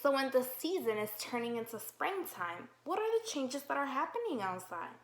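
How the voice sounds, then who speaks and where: A woman teacher reading a picture book aloud to young children, slow and encouraging, with drawn-out questions to the class. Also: sits high, very animated, slight background noise. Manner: slow, encouraging, exaggerated sing-song questioning. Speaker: kindergarten teacher. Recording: roomy echo.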